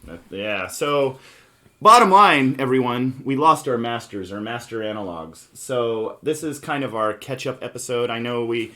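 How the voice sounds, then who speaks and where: A man's voice: mid-range voice, animated, normal speed, bright, forceful tone. A man hosting a casual podcast about board games, explaining things in a rambling way and circling back over his points. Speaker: podcast host.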